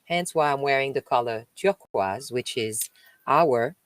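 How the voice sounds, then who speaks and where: Slow French-accented woman reading aloud. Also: rumbling low, dry recording. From France. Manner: slow, emphatic reading broken by chatty asides. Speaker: spiritual live-stream host. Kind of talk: reading aloud with spoken commentary.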